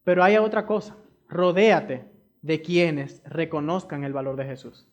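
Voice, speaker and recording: A man's voice: on the high side, unhurried. A man from the Republic, preaching a sermon and pressing his points by repeating them straight to the congregation. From Dominican Republic. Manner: emphatic, repeats key phrases; addresses the congregation directly.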